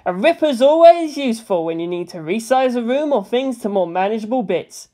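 Woman doing a polite excitable teen boy for an audiobook, highish voice, bouncy pitch, super earnest. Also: fairly quick, lightly acted. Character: eager, polite teenage boy with odd enthusiasms.

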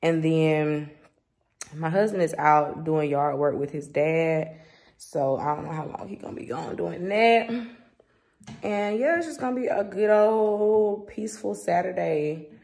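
A woman's voice: low voice, unhurried, creaky, darkish tone. A young woman with a Southern US accent chatting casually and in slang to a phone held at arm's length. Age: young adult. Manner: informal, slangy. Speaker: vlogger. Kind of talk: casual vlog chat to camera. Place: rooms at home and a parked car.

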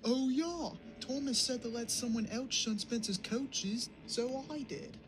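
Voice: mid-range voice, unhurried, background noise, lightly acted. An actor voicing a forgetful, anxious cartoon diesel engine, apologetic and hesitant, prone to gasps. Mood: flustered recalling. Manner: hesitant, gasping, dithering. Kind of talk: cartoon comic dub dialogue.

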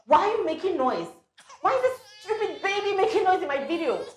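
A woman's voice: a little high, very animated, takes it slowly, a bit bright, full character voice. An actress playing a callous young woman vlogger who taunts and scolds in a mock-sweet, scornful way. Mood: scolding. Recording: echoey room with faint music.

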